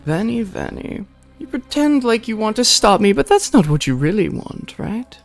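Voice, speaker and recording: A streamer voicing a mocking, sinister male digital villain who talks in slow taunts and drops to whispers. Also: medium pitch, sing-song, vocal fry, soft background music, pitched above the performer's own voice. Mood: manipulative. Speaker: streamer voicing a visual novel.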